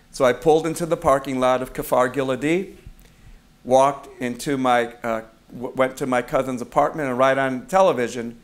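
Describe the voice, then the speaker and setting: A middle-aged man preaching to his congregation at an ordinary middle pitch. He tells his stories loosely and conversationally, pausing on fillers and correcting himself as he goes. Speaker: rabbi of a congregation. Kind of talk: sermon.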